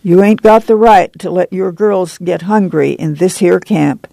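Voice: mid-range voice, steady tone, a little slow, gravelly, mellow, no room sound, poor audio. A woman voicing a stern, bossy country committee chairwoman who scolds in commanding, folksy rural dialect in a story reading. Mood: indignant, ordering.

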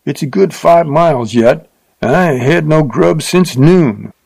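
A man's voice: fairly high pitch, expressive, measured pace, vocal fry, a little mellow, slightly rough, dry recording, pitched above the performer's own voice, heavily acted. A man voicing a crude, drunken frontier rider with a coarse rustic drawl. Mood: leering.

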